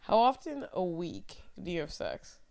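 A woman's voice: fairly low pitch, huge pitch swings, unhurried, gravelly, darkish tone. A young woman co-hosting a podcast, joking playfully in casual chat with friends. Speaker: podcast co-host.

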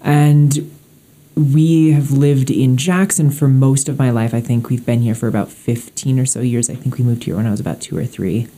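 A woman's voice: a bass voice, steady tone, moderate pace, warm tone. A teenage girl plainly describing her family in a matter-of-fact way. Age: teens. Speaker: student interviewee.

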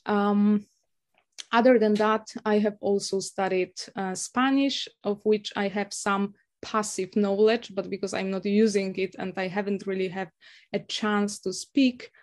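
Woman, young adult, from Poland, native Polish speaker, hesitant with many fillers, mid pitch. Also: steady tone, measured pace, a little mellow. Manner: hesitant, many fillers and restarts, laughs often. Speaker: guest, university lecturer. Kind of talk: casual interview conversation.

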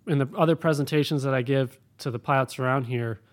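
A man's voice: mid-range voice, steady tone, fast talker. A man who works as an air traffic controller, answering interview questions in a plain, practical, explanatory way.

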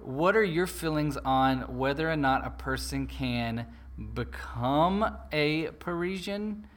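Halting man hosting a podcast. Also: a little high, a bit bright. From United States. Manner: halting, restarts and hesitant fillers. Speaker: podcast host. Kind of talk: casual chat.

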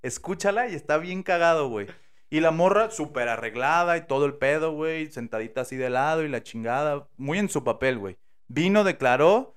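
A man with a Mexican accent, casually telling a true crime story on a podcast, slangy and animated, acting out the quotes. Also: on the high side, fairly quick, clean tone. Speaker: podcast host.

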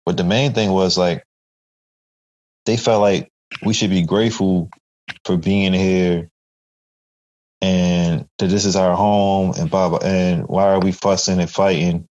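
Man, very deep voice, casual chat, animated storyteller, slightly muffled lo-fi recording. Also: unhurried, long pauses. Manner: animated storytelling with acted-out quotes. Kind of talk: casual podcast conversation.

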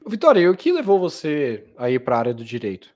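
A man's voice: fairly high pitch, wildly swooping pitch, a little fast, darkish tone. Man hosting a casual interview. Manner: friendly questioning, many tag questions. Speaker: podcast host. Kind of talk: conversational interviewing.

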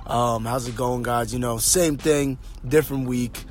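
A man with a slightly hoarse voice hosting a motivational podcast, speaking off the cuff in a halting, rambling, informal way straight to his listeners. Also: medium pitch, steady tone, a little mellow, slight background noise. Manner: halting, rambling, thinking aloud; informal, addresses listeners directly. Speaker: podcast host. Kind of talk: motivational monologue, casual and unscripted.

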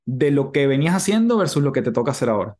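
A man's voice: mid-range voice, very animated, very fast, a little mellow. A man hosting a conversational interview and putting questions to his guest. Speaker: interviewer, podcast host.

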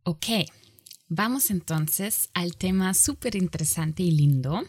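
A woman lecturing in a language that is not her first, halting and often correcting herself mid-sentence. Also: on the low side, lively intonation, darkish tone, husky, no echo, clean studio sound. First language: not Spanish. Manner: halting, self-correcting, with frequent pauses. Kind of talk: online lecture.

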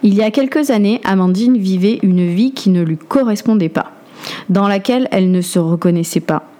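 A woman hosting a podcast, reading a calm, measured scripted introduction. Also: mid-range voice. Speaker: podcast host.

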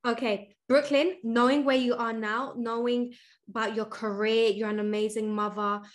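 A woman hosting an interview, enthusiastic and quick to praise her guest. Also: on the high side, little pitch movement. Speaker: interview host. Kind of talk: casual interview.

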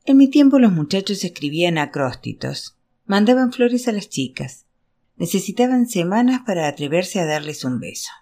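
A woman's voice: medium pitch, very animated, normal speed, dark tone. A woman voicing a teasing older woman in a novel, complaining nostalgically with mock disappointment. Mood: mock-disappointed.

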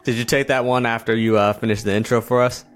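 A man's voice: medium pitch, steady tone, quick pace, faint music behind. A man co-hosting a podcast in casual chat. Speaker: podcast co-host.

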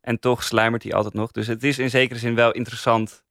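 A man's voice: mid-range voice, level tone, quick pace, fairly bright tone, no echo, clean studio sound. A man, chatting casually as a podcast co-host. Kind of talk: casual chat, reviewing podcasts.

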